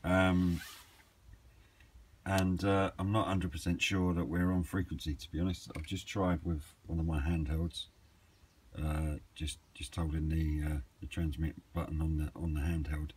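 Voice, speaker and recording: A man's voice: extremely low pitch, level tone, moderate pace, darkish tone. A man chatting casually and off the cuff, hesitant as he thinks aloud in a hobby vlog. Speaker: hobbyist vlogger. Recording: clearly noisy amateur camera recording.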